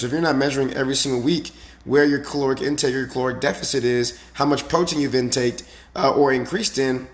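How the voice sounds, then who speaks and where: A man with a raspy, slightly hoarse voice giving a casual motivational talk as a fitness coach, emphatic and repetitive with frequent rhetorical questions. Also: medium pitch. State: slightly hoarse from the previous day.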